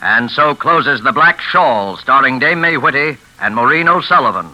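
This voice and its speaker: A man announcing a 1940s radio show in a measured, dramatic style. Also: mid-range voice, expressive, dull audio, loud music behind the voice. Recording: crackly, faintly noisy old radio.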